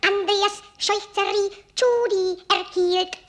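A shrieking, extremely high-pitched salamander creature. It recites politely and slowly, like a naive parrot in a radio play. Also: female voice, steady tone, fairly bright tone, soft background music, exaggerated voice. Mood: earnest, obliging. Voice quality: screeching, shrill. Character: naive parroting talking salamander of London zoo. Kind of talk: radio drama.

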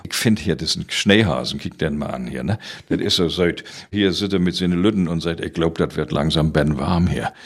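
A man from Rügen with a northern German accent, casually telling stories in Low German as an interview guest. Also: fairly quick, breathy edge. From island of Rügen, Germany.

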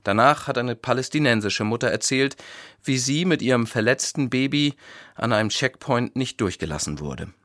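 A male pastor giving a scripted radio reflection, telling his account in measured sentences. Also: medium pitch, animated, fairly bright tone, no room sound, clean studio sound.

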